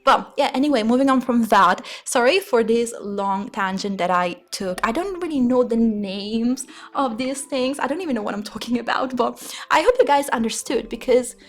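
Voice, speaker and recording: A woman's voice: on the high side, lively intonation, moderate pace. A woman with an Italian accent and Italian as her first language gives a rambling, hesitant voice-over for a game build video, often searching for words. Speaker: Sims speed build creator. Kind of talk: casual voice-over commentary. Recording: voice-over with faint music bed. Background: soft background music.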